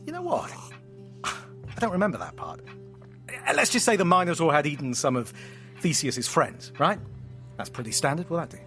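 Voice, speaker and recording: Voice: medium pitch, sing-song, normal speed, pauses often, a bit bright, soft background music. An actor in an audio drama playing a playful, theatrical storyteller who narrates with grand melodrama and teasing asides. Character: playful, theatrical storyteller friend spinning a myth at home.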